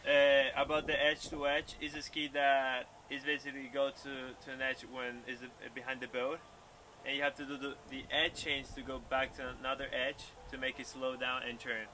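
A young pro water skier answers questions in a bright, forward voice, stopping and restarting as he hunts for words. He is recorded outdoors on a lakeside dock, with faint ambient sound around him. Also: medium pitch, little pitch movement, a little hiss or hum. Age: young adult. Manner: halting, searching for words in a second language. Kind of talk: casual interview.

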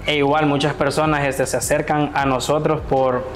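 A man's voice: fairly high pitch, little pitch movement, moderate pace, a little hiss or hum. A young man in his twenties from El Salvador, eagerly explaining his language school in a casual interview, with many fillers. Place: small classroom, indoors. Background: soft background music.

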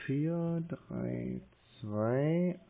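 A man's voice: mid-range voice, wildly swooping pitch, very slow, creaky. A man talking hesitantly and muddled on a phone call, thinking aloud as he types and reads. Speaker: scam-baiter posing as a confused customer on a call. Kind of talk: slow phone conversation, reading aloud while typing. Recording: muffled, narrow phone-call sound.

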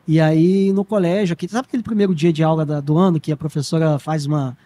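A man's voice: fairly high pitch, fairly even intonation, quick pace, mellow. A man from Minas Gerais hosting a podcast, chatty and joking as he questions his guest in casual talk. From Minas Gerais, Brazil. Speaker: podcast host. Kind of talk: casual interview chat.

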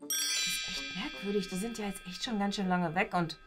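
A woman's voice: mid-range voice, normal speed, lightly acted. A woman playing Leila, a naive, anxious schoolgirl who hesitates and frets with lots of fillers. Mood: worried. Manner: hesitant, full of fillers and worry. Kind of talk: comedy web series acting. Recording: music behind.

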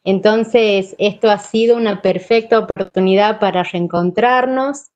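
A woman's voice: medium pitch, measured pace, a little mellow. A woman in her thirties with an Argentine accent from Santiago del Estero, a teacher talking informally on a video call. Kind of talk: informal online presentation. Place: wood-panelled room at home. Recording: dull video-call audio.

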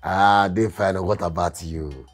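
A man's voice: sits low, fairly even intonation, takes it slowly, faint music behind. A man with a Nigerian accent playing a sly, blustering henpecked husband in a comedy skit. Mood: flirty.

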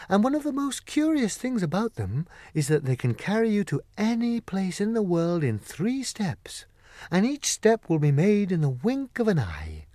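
A man reads as a good witch from a children's storybook, gracious and wise. The delivery is measured and regal, yet very varied in pitch, in a dry recording. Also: huge pitch swings, dark tone, dry recording. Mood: gentle, instructive.

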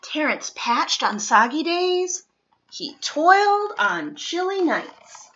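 Very animated, high-pitched woman reading a storybook. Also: creaky, muffled recording, a little hiss or hum. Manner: expressive, deliberate, dramatic emphasis for children. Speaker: storytime reader. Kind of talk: animated children's picture-book read-aloud. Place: living room, indoors.

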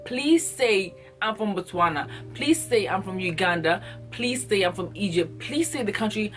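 A woman's voice: mid-range voice, lively intonation, moderate pace, a bit bright. Young woman vlogging to camera, earnest and emphatic, repeats stuff for stress, faint music behind. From Ghana. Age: young adult. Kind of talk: passionate direct-to-camera monologue. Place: small indoor room, plain wall. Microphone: camera in front at close range.

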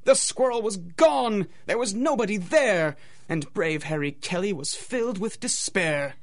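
A woman's voice: medium pitch, expressive, a little slow. A woman narrating a scripted rhymed adventure story, with a dramatic, singsong rhythm.

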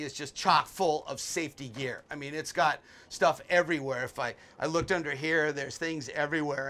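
A man's voice: a little high, fairly bright tone. An older man hosting a casual sailboat tour, opinionated and blunt, with joking asides, recorded on deck at a marina and then in the boat's small cabin. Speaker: boat tour host. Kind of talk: casual walkthrough commentary.

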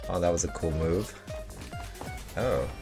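A man's voice: deep voice, animated, measured pace, over music. A young adult man giving casual reaction commentary as a gaming video host, speaking close to a desk microphone in a room.

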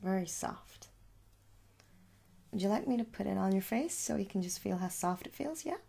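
A young woman speaking softly in an ASMR shop roleplay, coaxing the listener with little questions in a husky voice. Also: mid-range voice, lively intonation, normal speed. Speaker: ASMR performer playing a makeup shop seller. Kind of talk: soft-spoken ASMR roleplay. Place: small room at home.

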